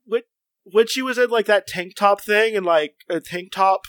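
A man with a notably high voice chats casually on a podcast, teasing and rambling through lots of fillers. The recording is dry and close, with no room sound. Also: normal speed, a bit bright, dry recording. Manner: playful, rambling with many fillers. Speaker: podcast co-host. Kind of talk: casual chat.

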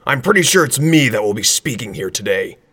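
Forceful big stone superhero. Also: male voice, mid-range voice, very animated, fairly quick, breathy edge, exaggerated voice. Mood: self-assured. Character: big, proud rock-bodied male superhero. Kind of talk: comedic audio drama acting.

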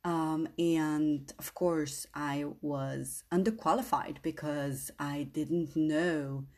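Slow-talking woman host, English. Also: deep voice, steady tone, darkish tone. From Slovenia. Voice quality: non-native English phrasing. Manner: earnest, rambling, tag questions. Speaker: podcast host and coach. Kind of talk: casual motivational monologue.

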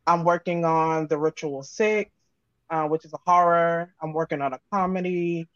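A woman's voice: lowish voice, fairly even intonation, normal speed, no room sound, muffled recording. A woman with an American accent chatting casually as an interview guest, talking informally in loose, run-on thoughts. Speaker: guest, audio drama creator and sound designer.

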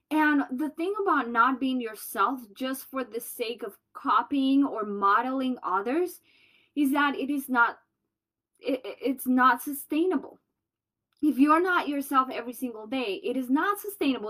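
A woman with a Colombian accent hosting a solo business podcast, bubbly and loud. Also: high voice. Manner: bubbly, loud and fast-talking. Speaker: podcast host.

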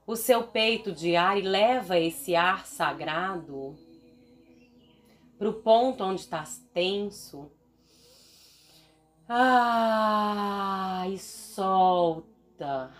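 A woman with a Brazilian Portuguese accent leading a guided meditation in a soothing, deliberate manner, leaving long pauses between phrases. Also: lowish voice.

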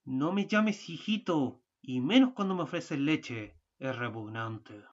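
A man in a student radio play acting a hurried, irritable husband, with a curt, grumbling delivery. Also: medium pitch, animated, lo-fi sound. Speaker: radio drama actor.

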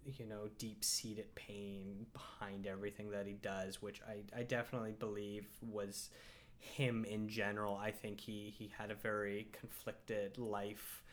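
A man hosting a casual film discussion, talking thoughtfully and hesitantly with many pauses. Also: fairly low pitch, little pitch movement. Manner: thoughtful, hesitant, lots of fillers and restarts. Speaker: podcast host and film reviewer.

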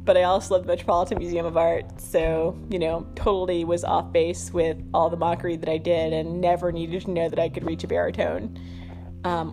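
A woman hosting a podcast in a casual stream-of-consciousness monologue, rambling and self-deprecating. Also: fairly low pitch. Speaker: podcast host.

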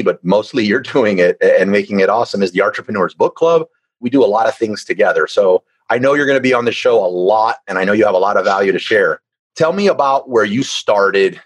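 Low-pitched male podcast host, dry sound. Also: breathy edge, no echo, poor audio. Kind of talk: casual interview chat.

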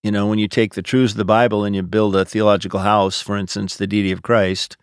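A man's voice: lowish voice, flat delivery, fast, smooth, no echo, clean studio sound. A middle-aged or older man, a pastor, explaining things in a casual, teacherly podcast style. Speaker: pastor and theology teacher answering questions.

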